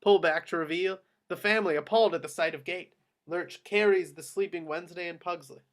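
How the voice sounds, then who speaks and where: A man's voice: high-pitched, brisk. A man reading scripted action descriptions as the narrator of a screenplay table read.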